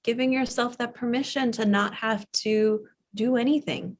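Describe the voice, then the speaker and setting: A woman teaching a yoga nidra class, talking softly and soothingly with long pauses as she guides a guided relaxation. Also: on the high side, steady tone. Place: live online or studio class.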